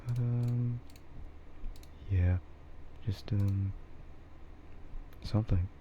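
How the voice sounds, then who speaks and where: A man livestreaming casual ASMR chat in a hushed, rambling way that often slips toward a whisper. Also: fairly even intonation, drawn-out words. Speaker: livestream host. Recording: muffled low-fidelity mic with clear background noise.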